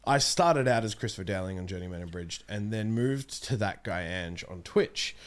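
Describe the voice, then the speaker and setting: A man's voice: fairly low pitch, lively intonation, moderate pace. A young man speaking casually as a guest in an interview. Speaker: guest, amateur voice actor and streamer. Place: small home streaming room, indoors.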